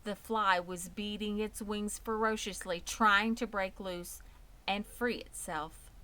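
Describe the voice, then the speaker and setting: Slow-paced woman reading a children's story. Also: mid-range voice, steady tone, pushed and bright. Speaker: storybook reader. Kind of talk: scripted children's audiobook narration.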